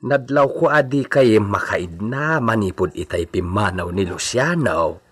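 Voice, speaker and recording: A man's voice: on the low side, lively intonation, a little slow, slightly rough. A man playing a loyal servant in a radio drama, earnestly and deferentially cautioning his master. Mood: concerned.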